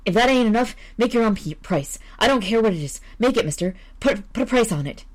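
A woman's voice: fairly high pitch, expressive, fairly quick, voice pushed up. A woman voicing a desperate, terrified male gambler who stammers and babbles his pleas. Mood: panicked pleading.

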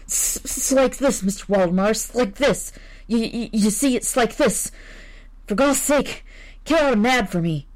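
A woman's voice: on the high side, measured pace, voice pushed up, close to an everyday voice, low-quality recording. Woman playing a terrified stammering gambler. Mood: panicked pleading. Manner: stammering, babbling, pleading.

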